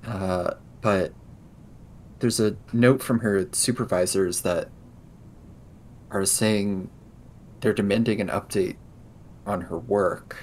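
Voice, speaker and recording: A man improvising in-character conversation in a tabletop roleplaying game, explaining things in a hesitant, halting way. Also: on the low side, fairly even intonation, a little mellow, a little hiss or hum.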